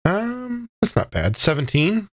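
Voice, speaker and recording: A man's voice: sits high, huge pitch swings, measured pace, dry recording, highs cut off. A man playing a tabletop game, talking casually as he describes his character's moves.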